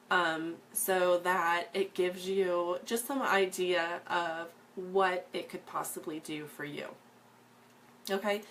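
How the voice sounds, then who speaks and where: A woman in her thirties with a slightly hoarse, froggy voice, telling viewers her personal story in a casual, earnest way with frequent ums. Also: lowish voice, fairly bright tone, a little hiss or hum.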